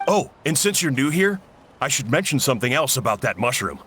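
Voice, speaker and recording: A hearty, dramatic uncle cook. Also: male voice, mid-range voice, sing-song, fairly quick, fairly bright tone, a little hiss or hum. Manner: hearty, chatty, a little dramatic. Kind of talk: game voice acting.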